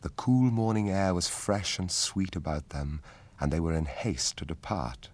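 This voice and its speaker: A male narrator telling the story of a radio drama in a calm, even way. His voice is low and steady. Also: unhurried. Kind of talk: scripted narration.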